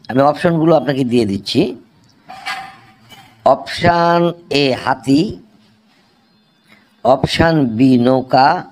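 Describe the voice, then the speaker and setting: Male cartoon quiz host, Bangladeshi accent, mid-range voice, very deliberate delivery, formal and explanatory. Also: mid-range voice, darkish tone, slight background noise. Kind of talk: scripted cartoon quiz presenting.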